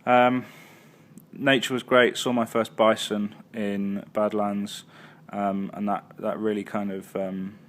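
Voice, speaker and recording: A man's voice: on the low side, level tone, takes it slowly, a bit bright. A man casually recapping his travels for a vlog, full of ums and uhs and self-corrections. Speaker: travel vlogger. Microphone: phone or handheld camera.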